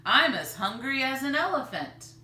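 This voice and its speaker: A hungry old grandpa voiced by a woman reading a storybook, hearty and given to exaggeration. The voice is loud and set back in an echoey room. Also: high-pitched, big pitch swings, moderate pace, pitched above the performer's own voice, heavily acted. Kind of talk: children's storybook read-aloud. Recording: roomy, distant mic, faint noise.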